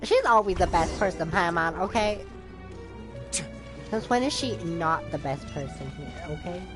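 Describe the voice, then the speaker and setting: A woman's voice: lowish voice, animated, a little slow. A woman streamer giving casual, chatty gameplay commentary, acting out her own thoughts as she goes. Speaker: gaming streamer. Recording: game music behind the voice.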